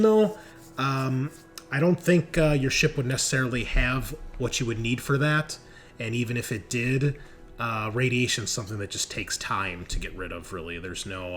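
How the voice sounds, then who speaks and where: A man running a tabletop game as game master, casual and easygoing, thinking aloud as he narrates and makes rulings. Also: mid-range voice.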